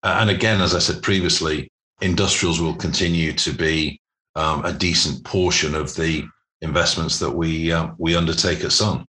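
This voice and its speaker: A man speaking as an interview guest, explaining business matters in measured, corporate phrasing. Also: extremely low pitch, steady tone, pushed and bright. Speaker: podcast guest, private equity investor.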